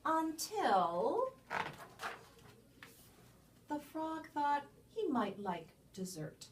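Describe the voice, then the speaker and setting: A woman reading a children's picture book aloud as a storyteller, with expressive, emphatic delivery and dramatic pauses. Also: high-pitched, slight background noise. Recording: distant microphone.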